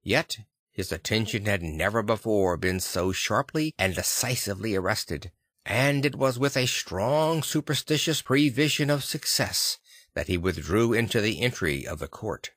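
A man reading literary fiction as an audiobook narrator, with a measured, deliberate storytelling delivery. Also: on the low side, expressive, fairly bright tone, dry recording, studio quality.

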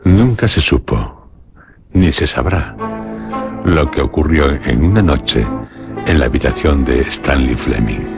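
A man narrates radio horror with solemn menace. His voice is extremely low and slow, and loud music plays behind him. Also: animated, vocal fry. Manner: solemn, ominous, measured.

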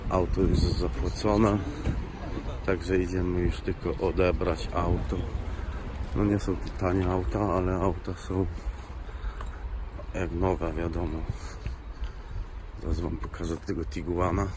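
A man's voice: sits low, level tone, takes it slowly, pauses often. A man giving casual spoken commentary as he presents cars on a phone video. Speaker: car import agent presenting cars. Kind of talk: casual spoken vlog commentary at a car lot. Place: outdoor car dealership lot, sometimes inside a car. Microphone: handheld phone filming, speaker off camera. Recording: outdoor car lot noise, handheld camera. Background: clear urban outdoor and vehicle noise.

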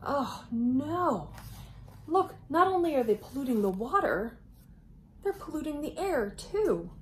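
Very animated, high-pitched woman storyteller. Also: a little slow, a little hiss or hum. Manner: expressive, exclamatory, dramatic emphasis. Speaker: children's storyteller. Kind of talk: animated picture-book read-aloud to children.

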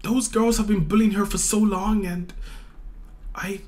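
A woman's voice: medium pitch, fairly even intonation, a little slow, darkish tone, lightly acted. A woman voicing a timid schoolboy who hesitates and apologizes, in a roleplay skit. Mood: nervous.

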